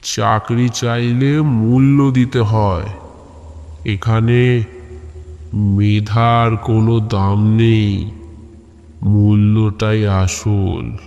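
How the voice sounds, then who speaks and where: Sinister masked shadow demon played by a male narrator, horror audio drama: commanding, extremely slow, drawn-out menace, long reverb. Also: lowish voice, flat delivery, a little mellow, lo-fi sound. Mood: cold, stern. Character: masked shadow beings demanding soul, body, shadow.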